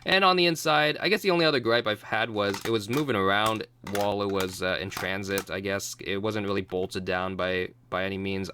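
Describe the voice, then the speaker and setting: A man's voice: low voice, a bit bright, slight background noise. A young man with a cold, sounding nasal and congested, rambling casually through a product unboxing with many ums and restarts. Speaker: YouTube reviewer.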